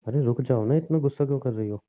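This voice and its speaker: A man's voice: medium pitch, quick pace, lightly acted. A man playing a resigned, injured husband in a relationship audio drama, reasoning insistently and pleadingly with his estranged wife. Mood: evasive. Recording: tinny, narrow phone-like sound.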